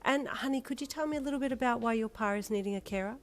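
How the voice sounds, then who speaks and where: A pleasant, motherly woman who calls the caller honey and love, as a woman puts her on. Her voice is high and gentle. Audiobook storytelling. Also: very fast, natural voice. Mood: sweet. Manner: warm, affectionate, calls people honey. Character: sweet, pleasant older woman carer.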